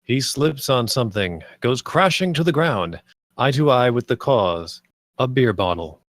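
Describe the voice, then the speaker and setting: Guy narrating a script table read, mid pitch, dry sound, reads the stage directions. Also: animated, unhurried, a bit bright, no room sound.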